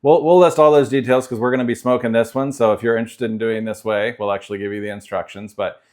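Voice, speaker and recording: A man's voice: medium pitch, fairly even intonation, fast, a little mellow. A man from Ohio with an American accent, co-hosting a cooking show with casual chat and storytelling. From Ohio, United States.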